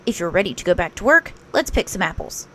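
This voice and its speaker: A woman's voice: lowish voice, sing-song, quick pace, a little hiss or hum. A woman voicing a practical, slightly bossy but friendly male rabbit in a children's story, with emphatic, instructive delivery. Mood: businesslike.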